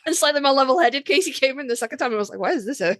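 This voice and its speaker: A woman co-hosting a podcast, chatting casually as a fan in an animated, emphatic, joking way with plenty of swearing. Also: sits high, rapid-fire, dry recording, studio quality. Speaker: podcast co-host. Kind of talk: casual fan chat about a TV series.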